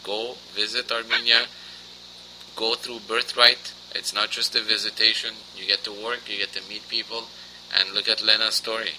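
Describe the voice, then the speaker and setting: A man's voice: fairly low pitch, level tone, normal speed, pushed and bright, a little hiss or hum, poor audio. A man hosting a radio interview, asking questions and reacting briefly. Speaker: radio host.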